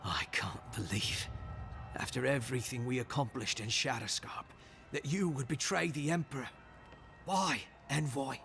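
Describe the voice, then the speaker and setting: An actor playing a dying imperial loyalist in a game cutscene, bitter and defiant, with a strained, gravelly voice. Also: male voice, medium pitch, normal speed, fairly bright tone, faint music behind. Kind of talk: dramatic video game cutscene acting.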